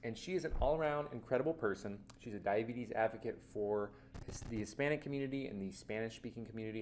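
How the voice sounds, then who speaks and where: A man hosting his own channel opens the video with an upbeat scripted intro. His voice sits a little low, with a faint noise underneath. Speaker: channel host.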